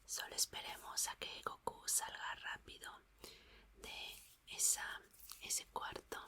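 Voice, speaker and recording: A woman doing an ASMR roleplay as a gentle, reassuring scientist, whispering soothingly and softly repeating her words. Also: slow. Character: gentle, reassuring female scientist repairing an android.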